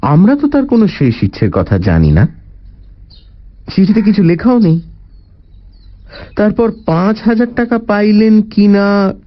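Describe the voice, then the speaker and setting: A man narrating a story in the first person, with an expressive, deliberate dramatic delivery. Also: high-pitched, lo-fi sound. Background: faint music.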